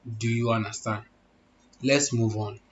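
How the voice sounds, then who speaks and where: A man's voice: steady tone, measured pace, a little hiss or hum. A young man talks through an online job application at his computer. He repeats himself, asking "okay?" and "do you understand?" along the way. His voice sits mid-range and sounds a little muffled, with faint keyboard clicks behind him. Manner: repetitive, instructive, checks in with the listener. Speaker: online tutorial host. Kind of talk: screen-recorded walkthrough. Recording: muffled low-fidelity audio.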